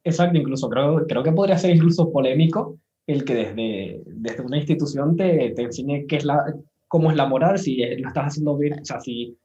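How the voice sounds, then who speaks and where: A man's voice: on the high side, a little fast, warm tone, muffled recording. A man interviewing casually, politely and tentatively, circling his way toward each question. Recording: roomy, echoing sound.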